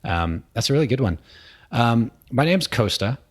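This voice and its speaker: A man's voice: mid-range voice, big pitch swings, moderate pace. A middle-aged man from New York, a guest in an interview, explaining his work in a thoughtful way, with many ums. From New York, USA. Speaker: guest, innovation consultant. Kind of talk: conversational interview. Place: indoor room with a small audience.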